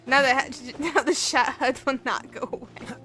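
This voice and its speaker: A woman's voice: sits high, normal speed, bright, forceful tone. A woman livestreamer chatting casually and giggly while she plays a game. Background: faint game music.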